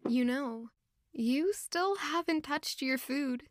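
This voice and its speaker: A woman's voice: high-pitched, animated, slow, a little hiss or hum. A woman playing an earnest, modest young working woman who can be playfully bold, in an intimate audio drama. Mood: candid, puzzled. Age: young adult.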